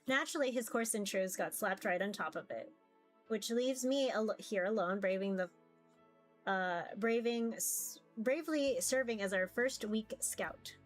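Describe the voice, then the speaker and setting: A woman reading a visual novel aloud in an expressive first-person narration, occasionally stumbling over words. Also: mid-range voice, measured pace. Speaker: VTuber reading a game aloud. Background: music.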